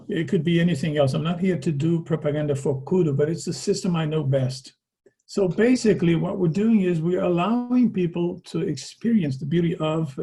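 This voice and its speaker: A man's voice: fairly high pitch, warm tone. A man with a Portuguese accent, a native Portuguese speaker, giving a conversational presentation over a video call and often ending his points with a tag question. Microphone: video call microphone.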